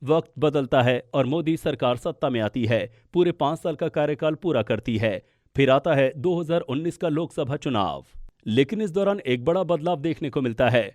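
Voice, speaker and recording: A man's voice: on the high side, a little mellow, dry recording, studio quality. A man narrating a scripted political explainer as a news podcast presenter.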